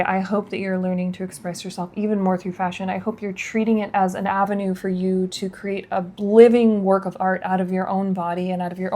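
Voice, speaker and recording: A woman's voice: medium pitch, a little mellow, slight background noise. A woman in her thirties talks straight to camera in a candid fashion and beauty vlog. She speaks in an earnest, reflective way, encouraging her viewers. Her phrasing stays loose and casual, with plenty of fillers like "kind of" and "like.". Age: 30s.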